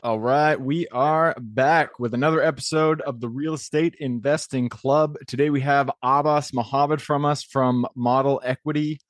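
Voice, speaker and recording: A man's voice: mid-range voice, fairly even intonation, normal speed, few pauses, dry recording, clean studio sound. A man hosting a podcast interview who talks in an upbeat, encouraging way with many ums. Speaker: podcast host.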